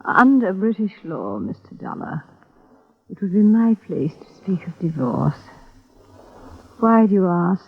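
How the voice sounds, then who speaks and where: An actress playing a bitter, ill wife who speaks coldly in a 1950s radio drama. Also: female voice, medium pitch, big pitch swings, a little slow, long pauses, slightly rough, heavily acted. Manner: cold, bitter and clipped. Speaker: planter's sick wife. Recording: tinny old radio broadcast.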